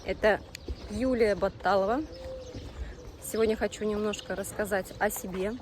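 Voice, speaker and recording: A woman in her thirties chatting casually to camera as a vlogger introducing herself, often pausing mid-sentence. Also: medium pitch, steady tone. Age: 30s. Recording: clearly noisy amateur vlog sound.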